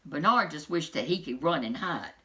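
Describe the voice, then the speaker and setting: A woman storyteller reading a children's picture book aloud with expressive, dramatic phrasing. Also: low-pitched, normal speed.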